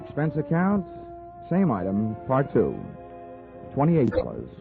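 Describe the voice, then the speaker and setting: Glib American investigator, 1940s radio; lively, swinging intonation, wry flirt, thin tinny sound with music behind. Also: male voice, medium pitch, a little slow, gravelly, heavily acted. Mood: wry narration. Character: breezy, flirtatious, wisecracking male insurance investigator. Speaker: lead character and narrator.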